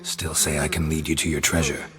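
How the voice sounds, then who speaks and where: Very deep, gruff monster hunter. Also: male voice, fairly quick, big-room sound. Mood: blunt. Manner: terse, clipped, deadpan. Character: gruff, stoic, blunt male monster hunter. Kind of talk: video game voice acting.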